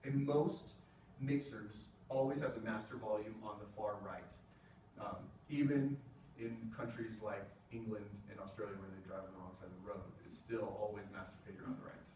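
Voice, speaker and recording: Man, relaxed mellow voice, casual classroom lecture, roomy echo, distant mic, faint hiss. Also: fairly low pitch, moderate pace. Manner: casual, chatty explaining with fillers. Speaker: music technology presenter. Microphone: room mic from a distance.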